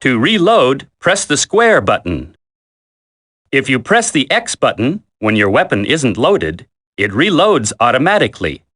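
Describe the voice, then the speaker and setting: An actor playing a military instructor in a video game tutorial, coaching a recruit in a firm, deliberate, commanding way. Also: male voice, medium pitch, very animated, fairly bright tone. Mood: instructive, firm.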